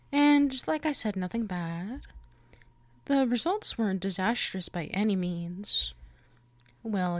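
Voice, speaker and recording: Slow, coaxing vampire woman acted by a woman. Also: medium pitch, dry recording. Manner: coaxing, chatty, teasingly polite. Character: female vampire lab worker, politely coy, possessive yandere predator. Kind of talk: ASMR roleplay, addressing the listener.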